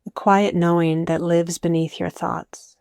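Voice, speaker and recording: A woman with a dark, relaxed voice that stays very even in pitch. She narrates a guided meditation in gentle, soothing invitations, recorded close and dry. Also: vocal fry, clean tone, no echo. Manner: soothing, unhurried phrasing with soft invitations.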